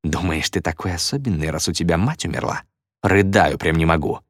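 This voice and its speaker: A man's voice: mid-range voice, huge pitch swings, unhurried, a bit bright, dry recording, clean studio sound, voice pushed up. A man voicing an angry, domineering teenage bully in an audiobook, taunting and barking demands. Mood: low fury.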